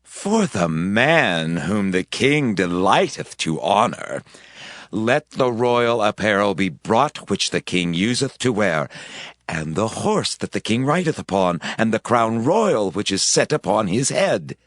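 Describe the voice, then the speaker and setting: An actor playing a proud, scheming royal minister in a dramatized scripture reading, speaking in a pompous, self-important way. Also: male voice, on the low side, big pitch swings, a little slow, fairly bright tone, no echo, clean studio sound.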